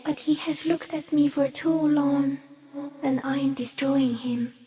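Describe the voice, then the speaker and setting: A woman voicing a giant stick insect in a video game, gentle, solemn and cryptic, speaking in slow, measured phrases. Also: high-pitched, steady tone, vocal fry. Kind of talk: video game character acting.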